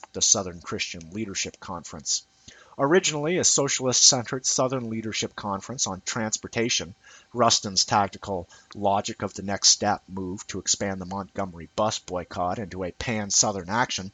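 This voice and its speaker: Male narrator for essay reading, lowish pitch, somewhat rough-edged tone, dry close sound. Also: bright, forceful tone, dry recording, low-quality recording. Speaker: amateur reader of an essay. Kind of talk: scripted essay read aloud as narration.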